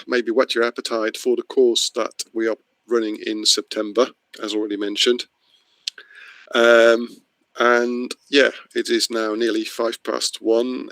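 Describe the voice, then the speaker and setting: A middle-aged man from the Netherlands introduces an online training course. His delivery is informal and slightly halting, at a medium pitch, recorded dry with no room sound. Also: fairly bright tone, no room sound, rough recording. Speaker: course presenter. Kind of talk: webinar introduction, spoken off the cuff. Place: online video meeting.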